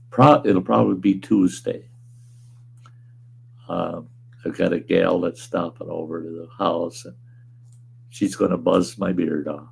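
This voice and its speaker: Hoarse man, slow and very gravelly, laid-back rambling streamer host who chuckles and swears casually. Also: sits low, a little mellow. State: hoarse, weakened voice. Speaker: livestream host. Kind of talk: casual livestream chat reading viewer comments. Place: at home.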